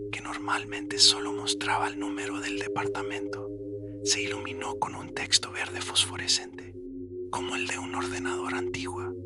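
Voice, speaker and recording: A man with a whispery, breathy voice narrating a scripted horror story in a suspenseful storytelling manner. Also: lowish voice. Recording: loud music bed under the voice. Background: loud atmospheric music.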